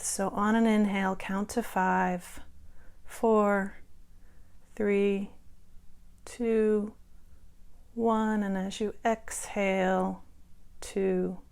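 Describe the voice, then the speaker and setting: A woman yoga instructor speaks at a very slow pace, leaving space between her cues. Her voice sits at a medium pitch with a noticeable creak. She guides movement and breath in a soothing, unhurried manner. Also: darkish tone. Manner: soothing, unhurried cueing with long pauses.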